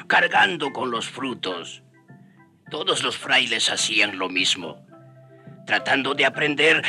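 A man's voice: medium pitch, sharp tone, heavily acted. An actor playing a man who fondly recalls past days as the narrator of a radio drama. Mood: fond, amused. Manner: reminiscent, storytelling. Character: fond storyteller recalling his days with the friars.

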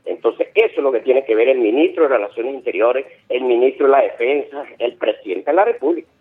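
A middle-aged man with a Venezuelan accent calls in over a thin, tinny phone line. He talks in an insistent, rambling way, circling back and repeating himself. Soft music plays faintly behind him. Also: mid-range voice, animated, a little fast. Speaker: caller. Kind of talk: phone-in radio call.

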